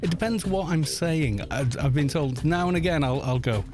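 A man's voice: fairly high pitch, moderate pace, over music. Chatty Welsh man in his fifties. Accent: Welsh with some northern English. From Wales. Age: 50s. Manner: chatty, rambling, laughs easily, reads chat aloud. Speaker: game streamer. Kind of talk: live stream commentary while playing.

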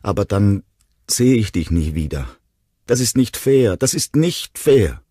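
A small boy in an audiobook story, acted out by a man, whimpering and imploring. The voice is lifted well above the reader's own, with a husky edge and a close, dry sound. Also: lowish voice, sing-song, normal speed, a little mellow, no room sound, pitched above the performer's own voice. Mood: tearful protest. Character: small tearful boy pleading with his father.

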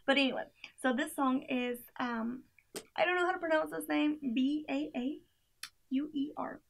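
Young woman with a high, gravelly voice. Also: moderate pace, slight background noise. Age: young adult. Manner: chatty, filler-heavy, giggly asides. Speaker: playlist reviewer. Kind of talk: casual chat. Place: small room indoors by a door.

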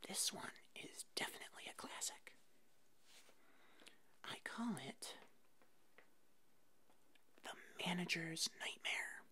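A woman whispers breathily and slowly, playing an easygoing hairdresser in an ASMR roleplay. Her soft voice sits low and murmurs short, reassuring phrases without hurry. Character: fuzzy monster puppet hairdresser, attentive and easygoing.

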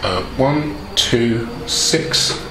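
A man's voice: medium pitch, animated, extremely slow. A man chatting casually as a player in a tabletop game, in a roomy recording. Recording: roomy sound with music behind. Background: music.

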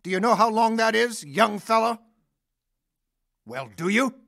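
An actor playing an irascible, rude, blustering older patient in an audio drama. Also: male voice, high voice, expressive, a little slow, vocal fry, bright, forceful tone, full character voice. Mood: irritated. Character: irascible, demanding older male patient in pain.

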